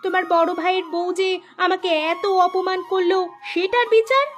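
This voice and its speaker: A very high-voiced village wife from a cartoon, acted by a woman, bossy and touchy. She scolds and insists in an indignant, demanding way. Clear music plays behind her voice. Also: steady tone, moderate pace. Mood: scolding, demanding. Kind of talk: Bangla cartoon voice acting.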